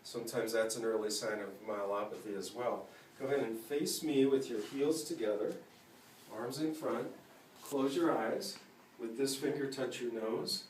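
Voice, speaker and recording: Male clinician, middle pitch, matter-of-fact exam instruction, recorded in a roomy space with the mic set back. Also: moderate pace, darkish tone. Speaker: clinician demonstrating a neurological exam. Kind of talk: instructing a patient while explaining to viewers. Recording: roomy, distant mic with faint noise.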